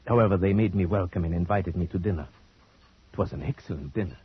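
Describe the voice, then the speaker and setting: An actor playing a suave, courtly Slavic gentleman in formal, deliberate old-time radio drama style. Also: sits low, breathy edge. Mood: brooding narration. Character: suave Slavic gentleman, guest and brooding narrator. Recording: dull old radio recording, faint noise.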